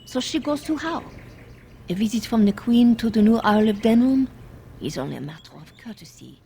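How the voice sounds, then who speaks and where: A woman's voice: on the high side, animated, moderate pace, vocal fry, dark tone, slight background noise. An actress playing an earnest, worried young handmaiden to a queen in a fantasy video game, telling things carefully and urgently. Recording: game audio with music underneath.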